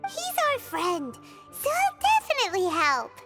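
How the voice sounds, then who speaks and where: A woman voicing a tiny, excitable fairy-like companion girl in an anime video game, speaking in a chirpy manner. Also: extremely high pitch, a little slow, exaggerated voice. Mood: chirpy, excited. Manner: talks of herself in third person. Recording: loud children's music behind the voice.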